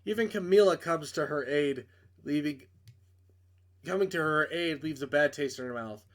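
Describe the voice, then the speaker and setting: A man's voice: on the high side, moderate pace. A man reads story text from a visual novel aloud in a dramatic narrating style. He trips over words now and then, corrects himself, and drops in his own offhand comments. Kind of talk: dramatic reading with off-the-cuff asides.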